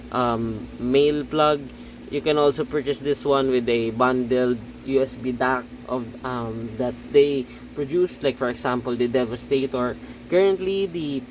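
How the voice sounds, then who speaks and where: Guy reviewing earbuds in english, lots of uh and um, keeps saying okay?, average pitch, bit of faint noise behind. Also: poor audio. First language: Tagalog. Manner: halting, with many fillers and self-corrections, checking in with "okay?". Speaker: audio gear reviewer. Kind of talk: casual spoken product review.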